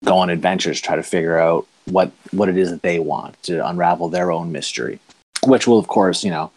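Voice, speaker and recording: A man's voice: low voice, a little fast, darkish tone, dry recording. A man with a Maritime Canadian accent, chatting casually and self-deprecatingly as a comic book writer being interviewed. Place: remote call recording.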